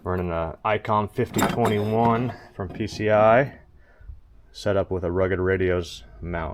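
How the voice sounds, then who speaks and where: Low-voiced man, plain-spoken how-to. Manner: plain-spoken, matter-of-fact, step-by-step. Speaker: host of a truck DIY install video. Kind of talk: hands-on how-to narration, casual. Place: in and around a pickup truck. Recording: steady background noise.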